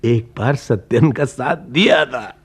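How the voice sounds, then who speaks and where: An actor playing a lecherous, hard-drinking employer in a radio play, coaxing in a halting, wheedling way. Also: male voice, medium pitch, husky. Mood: sly coaxing. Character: lecherous, coaxing drunkard employer, a grown man. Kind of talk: Hindi radio play acting.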